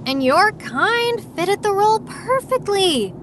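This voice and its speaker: A young demon-hunting streamer girl, high lively voice, scornful and fearless, audio drama. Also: slow pace, slight background noise, heavily acted. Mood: proud, callous. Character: cocky, defiant young female streamer who hunts demons.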